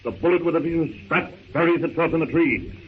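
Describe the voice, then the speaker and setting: A man's voice: on the high side, fast talker, narrow, tinny audio, natural voice. A man narrating a 1930s radio adventure serial in a theatrical, suspenseful, declamatory manner. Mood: urgent. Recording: thin, noisy 1930s radio recording.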